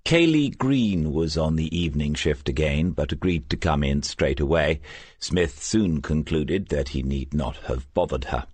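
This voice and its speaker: A man narrating a crime novel as an audiobook, with measured, scripted storytelling. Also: rumbling low, expressive, a little mellow, husky, no echo.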